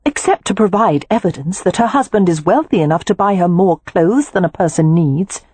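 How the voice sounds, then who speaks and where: A woman's voice: fairly low pitch, lively intonation, dark tone, husky, no echo. Woman voicing a frank, restless young wife. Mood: earnest. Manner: earnest, quick to object.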